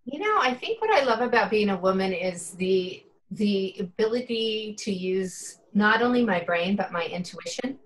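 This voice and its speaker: A woman's voice: mid-range voice, lively intonation, normal speed, few pauses, rough recording. A woman in her fifties, a guest in an interview, speaking earnestly and from the heart with many "you know" fillers. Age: 50s. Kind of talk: casual interview chat.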